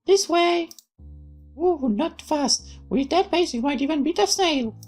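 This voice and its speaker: A woman's voice: sits high, animated, a little slow, darkish tone, soft background music, voice pushed up. A woman voicing a bossy, teasing young girl in a visual novel, mocking and scolding. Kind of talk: dramatic reading of a visual novel.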